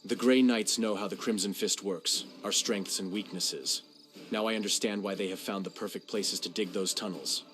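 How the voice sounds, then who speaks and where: Man acting stern lordly commander, lowish voice. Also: moderate pace, fairly bright tone, faint music behind, close to an everyday voice. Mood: grave. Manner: authoritative, severe. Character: stern, ruthless lord commander of a holy army. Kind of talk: dramatic video game cutscene acting.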